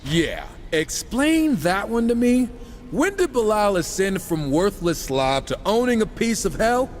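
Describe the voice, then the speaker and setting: Game hero: wisecracking horseman, irreverent, cocky, bouncy intonation, higher male register, reverberant sound. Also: sing-song, measured pace, slight background noise. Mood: wry. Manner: sarcastic, irreverent. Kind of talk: casual quips in video game cutscene acting.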